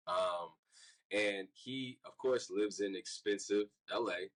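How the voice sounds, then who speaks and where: A male co-host of an interview podcast with a low voice. He speaks loosely and casually, filling his questions with "um" and "man" as he introduces the guest. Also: low-quality recording. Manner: relaxed, loose, says "man" and "um" often.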